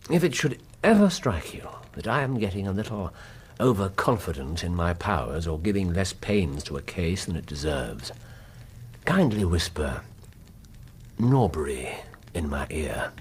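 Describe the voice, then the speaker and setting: An actor with an English accent playing a pensive, courteous Victorian detective in a radio drama, with formal theatrical phrasing. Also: male voice, low voice, very animated, measured pace, slight background noise, full character voice. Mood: wry, humbled. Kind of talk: period radio drama acting.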